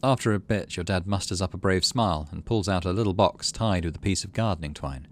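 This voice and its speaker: A man's voice: low voice, a little fast. A man hosting a gaming video, reading visual novel text aloud and adding casual commentary. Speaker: gaming channel host.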